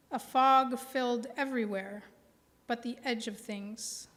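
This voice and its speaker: An American woman in her forties, a poet giving a live reading with deliberate phrasing and pauses. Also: on the high side, fairly bright tone. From Milwaukee, American Midwest. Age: 40s. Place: indoor reading before a live audience. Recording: reverberant hall sound.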